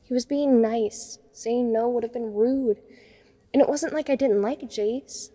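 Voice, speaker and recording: Nerdy teen girl telling her own story voiced by a woman, soft mellow sound, wry, lots of reverb. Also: a little high, fairly even intonation, measured pace. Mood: reflective. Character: self-conscious teenage science-nerd girl, wryly observant. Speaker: narrator and lead character. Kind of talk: first-person scripted audio drama narration. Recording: long reverb on the voice.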